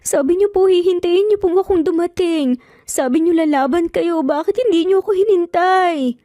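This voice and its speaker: A woman's voice: very high voice, moderate pace, mellow, no room sound, clean studio sound, voice pushed up. A woman with a Filipino accent voicing a grieving, devoted young granddaughter in an audio story, pleading and plaintive. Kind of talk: dramatic audio story dialogue.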